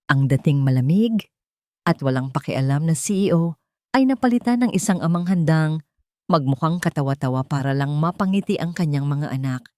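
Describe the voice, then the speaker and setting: Female storyteller, low register, dry close sound, measured dramatic phrasing for scripted fiction. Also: a little mellow, no echo. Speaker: narrator.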